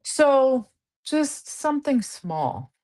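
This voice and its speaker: A woman's voice: sits high, sing-song, very slow, warm tone, rough recording. A woman clinician in a casual interview, earnestly explaining how she counsels patients and acting out their conversations. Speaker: guest clinician. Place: Southern California.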